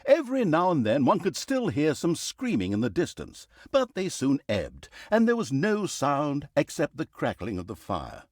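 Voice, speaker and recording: An actor playing a sarcastic, pompous storyteller, narrating a fantasy video game with dry, mock-dramatic humor. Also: male voice, fairly high pitch, huge pitch swings, moderate pace, hardly any pauses, darkish tone, no room sound. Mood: mock-epic drama. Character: sarcastic, pompous male storyteller of evil deeds. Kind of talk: theatrical scripted fantasy narration.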